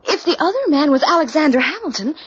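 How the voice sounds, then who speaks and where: A woman's voice: sits high, very animated, quick pace. An actress playing an anxious, sensible young woman caught in a mystery, speaking earnestly in an old radio drama. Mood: uneasy wonder. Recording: muffled, faintly hissy old radio recording.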